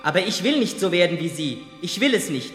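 A man's voice: high voice, very animated, brisk, a bit bright. A man acting in a 1970s radio play as an unforgiving young son who confronts his father with insistent, formal questioning. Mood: bitter, accusing. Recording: reverberant hall, music behind.

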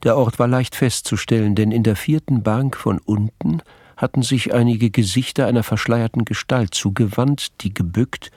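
An actor as the composed storyteller of a mystery radio play, narrating in a measured, literary manner. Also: male voice, mid-range voice, darkish tone, dry recording, clean studio sound, natural voice. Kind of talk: scripted narration.

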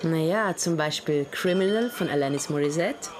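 A woman's voice: low-pitched, normal speed, a crowd in the background, natural voice. An actress playing a casual, self-assured policewoman in a radio crime drama. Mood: relaxed.

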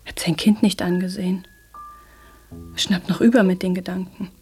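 A woman's voice: on the low side, level tone, moderate pace, warm tone, soft background music, close to an everyday voice. An actress in a radio drama playing an anxious, poor young mother with plain folksy speech that often drops to a whisper. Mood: uneasy, sorrowful. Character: anxious poor young mother tending her little boy.

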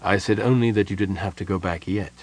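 A man voicing a tired, patient young male mage who speaks quietly and in a measured way, with a husky voice. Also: deep voice, steady tone, dry recording. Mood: firm.

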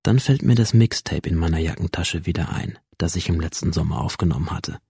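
A sullen young school shooter in a modern radio play. His voice is low and mellow, recorded very dry. He is cynical and defiant, sliding between introspective narration and coarse, sardonic retorts. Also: fairly quick, creaky, slightly rough, no echo, full character voice. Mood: wistful. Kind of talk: modern radio drama acting.

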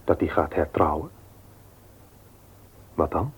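An actor playing a mild, loving but cautious husband in a radio play, speaking in a measured, questioning way. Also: male voice, extremely low pitch, very animated, breathy edge, heavily acted. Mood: cautious, doubtful. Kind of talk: Dutch radio play acting. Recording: muffled old radio play recording.

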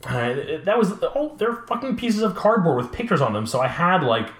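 A man in his late twenties chatting casually and talkatively, telling animated stories in a roomy, echoing space. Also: sits high, normal speed. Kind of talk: casual chat about collectibles. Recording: roomy, echoing room sound.

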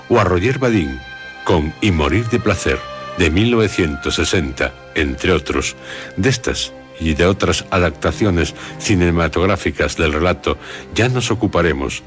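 A man with an accent from Spain presenting a scripted literary radio programme in a formal, deliberate manner. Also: low voice. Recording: music bed under the voice. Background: music.

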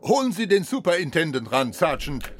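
An actor playing a genteel, authoritative senior police chief in a radio crime play. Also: male voice, a little high, sing-song, normal speed. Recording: loud vehicle noise behind.

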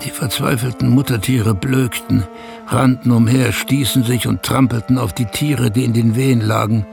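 An actor playing a weary, bitter male shepherd who gravely recounts hardship in a radio drama. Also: mid-range voice, fairly even intonation, normal speed, close to an everyday voice. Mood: despairing. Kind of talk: radio drama first-person narration. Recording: music under the voice.

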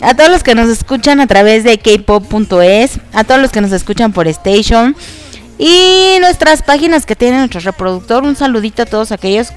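A woman's voice: a little high, lively intonation, a little fast, clean tone. Nasal, congested woman host. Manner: chatty and giggly, many fillers. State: congested from allergies. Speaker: radio show host. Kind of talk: casual radio chat about K-dramas.

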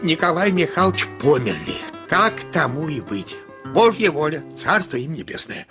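An elderly household servant in a radio drama. His voice sits high for a man, and he talks in a folksy, grumbling, coaxing way, like a devoted retainer scolding his mistress. Music plays clearly behind him. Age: older. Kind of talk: Russian radio play acting. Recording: studio radio play with background music.

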